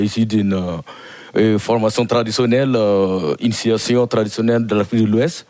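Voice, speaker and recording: Halting low-voiced man from Burkina Faso. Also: a little mellow. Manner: halting, many fillers and restarts. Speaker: guest musician.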